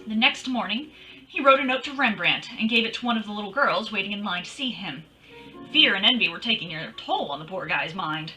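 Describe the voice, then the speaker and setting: A woman's voice: medium pitch, expressive, moderate pace, pushed and bright, far from the mic, faint music behind, poor audio. An actress playing a wry, sassy female private detective, telling her case with dramatic flair in old-time radio style. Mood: matter-of-fact.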